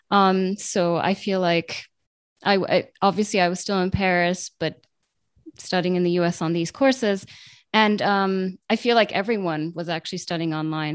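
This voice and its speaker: A woman's voice: fairly low pitch, no room sound. Late-20s woman, rambly friendly guest answers, lots of ums, dead dry mic. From born in Italy, raised in Italy, the US and Ireland. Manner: chatty, with frequent ums and self-corrections. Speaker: guest, translator and radio journalist. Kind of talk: casual interview answers.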